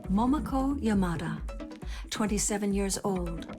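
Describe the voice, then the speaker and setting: An actress playing an informative female game guide delivers scripted lore narration. Her voice sits at a middle pitch, with music playing clearly behind her. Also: lively intonation, a little slow, lightly acted.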